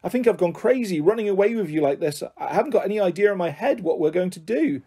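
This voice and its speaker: A woman's voice: fairly low pitch, expressive, fast, dark tone, breathy edge, voice pushed up. A woman voicing an anxious, snappish but loving mother in a storybook. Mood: tearful, remorseful.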